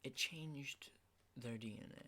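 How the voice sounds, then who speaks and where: A man playing an unhinged, menacing survivor of a zombie apocalypse in a halting, intimate ASMR roleplay, in breathy whispers. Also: sharp tone, slight background noise, full character voice. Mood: hushed, explaining. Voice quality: breathy whisper with low creaky undertones.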